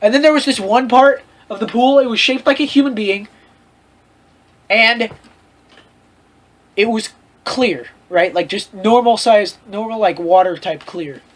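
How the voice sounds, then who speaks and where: A host reading fan fiction aloud for comedy, in an animated, mock-lecturing way with frequent asides. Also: mid-range voice, measured pace, long pauses. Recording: clear background noise with clicks.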